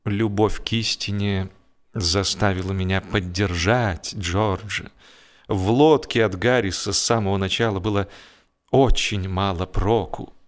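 A man's voice: sits low, fairly even intonation, unhurried. A man narrating humorous fiction expressively, with a wry, theatrical delivery. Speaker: audiobook narrator.

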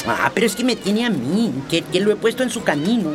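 An actor playing a worried, protective guardian in a radio soap opera, doubtful and insistent. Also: male voice, high voice, big pitch swings, fairly quick. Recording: loud music behind.

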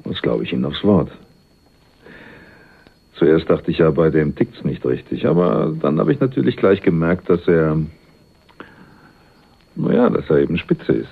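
An actor playing a sly, cynical go-between with a wry, teasing way of talking in a German radio crime drama. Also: male voice, sits low, sing-song, unhurried, gravelly, pauses often, slightly rough, heavily acted. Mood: wry, flirtatious. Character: cynical, sly male go-between, cheekily flirtatious. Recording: muffled, phone-like 1980s radio recording.

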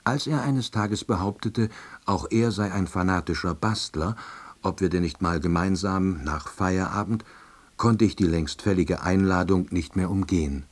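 A man playing a wry, self-deluding office worker who narrates his story in a deadpan, reflective way. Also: sits low, moderate pace. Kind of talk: first-person radio play narration.

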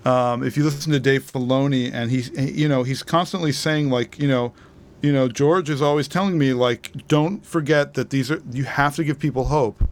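A man's voice: mid-range voice, steady tone, normal speed. A middle-aged man co-hosting a podcast, talking casually and earnestly in a rambling way, often repeating words. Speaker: podcast co-host.

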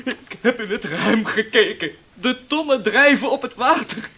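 Radio play: terrified young seaman, high-pitched and raspy, strained, pleading near breaking point. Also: lively intonation, a little slow, sharp tone, exaggerated voice. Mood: frightened, insistent. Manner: pleading, repeating himself in fear. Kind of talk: Dutch radio play acting.

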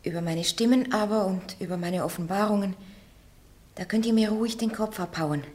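A woman's voice: mid-range voice, brisk, darkish tone, natural voice. An actress playing a defiant, plain-spoken peasant girl of nineteen on trial in a radio play, simple, forthright and stubborn. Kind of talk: German radio play acting.

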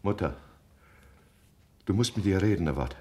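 An actor in a German radio drama playing an earnest, cornered middle-aged husband, proud and pleading, with an urgent, emphatic delivery. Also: male voice, deep voice, lively intonation, normal speed, noisy background. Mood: urgent, insistent.

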